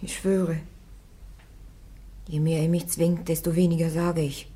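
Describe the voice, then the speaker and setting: A woman's voice: low-pitched, level tone, a little slow, warm tone, slightly rough. A woman acting a defiant, devout young warrior on trial in a German radio play, plain-spoken and stubborn.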